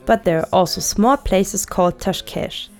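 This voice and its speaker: Woman narrating a documentary. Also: medium pitch. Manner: even, descriptive, measured. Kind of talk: scripted narration.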